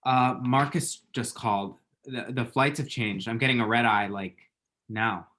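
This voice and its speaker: A man acts out a self-deprecating, smitten young man in a romantic comedy script. He speaks at an ordinary male pitch, awkward and halting, in a naturalistic table-read style. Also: steady tone, pushed and bright. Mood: regretful.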